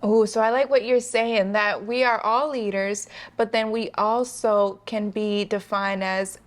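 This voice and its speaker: A woman's voice: medium pitch, steady tone, unhurried. A woman hosting an interview show, upbeat and encouraging, often affirming her guest. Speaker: show host. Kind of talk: interview conversation.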